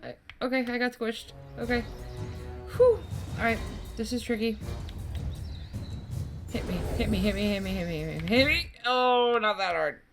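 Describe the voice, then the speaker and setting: A woman's voice: a little high, moderate pace, pauses often. A woman hosting a gameplay video with casual commentary, muttering reactions to herself as she plays. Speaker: gaming video host. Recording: game music behind voice.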